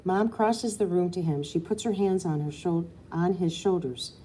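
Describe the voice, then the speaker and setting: A woman reading a children's novel aloud, an expressive narrator with dramatic emphasis. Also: on the low side, moderate pace, slight background noise. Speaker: audiobook reader. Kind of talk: expressive storybook narration, first person as a girl.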